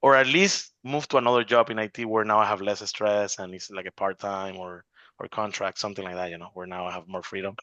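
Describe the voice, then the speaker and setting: A man in his forties talks in a casual, meandering way, circling back with restarts and filler words. His voice sits on the lower side. The video-call audio is dry and slightly dull. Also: steady tone, brisk, bright, forceful tone, dry recording. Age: 40s. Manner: rambling, many restarts and "you know" fillers. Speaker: real estate investor in an accountability group.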